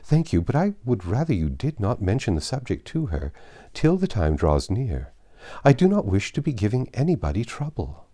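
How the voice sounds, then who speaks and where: Guy doing a proper young lady from an old novel, polite but firm, bit higher, soft dark tone. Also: wildly swooping pitch, normal speed. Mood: reluctant. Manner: formal, restrained, firm. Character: reserved, polite young woman, quietly firm. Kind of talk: dramatised classic novel reading.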